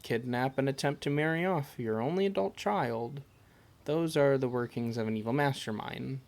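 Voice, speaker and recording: A man playing a caring, teasing adventurer who comforts a party member, in a gentle, halting, conversational amateur audio roleplay. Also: mid-range voice, gravelly, natural voice. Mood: amused, teasing.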